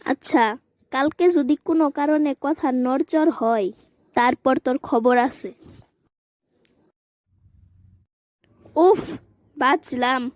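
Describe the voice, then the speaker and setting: A woman's voice: high-pitched, measured pace, a little mellow, dry recording, studio quality. A woman voicing a stubborn, playful young girl in a comic cartoon, whiny and argumentative, with a Bangladeshi accent.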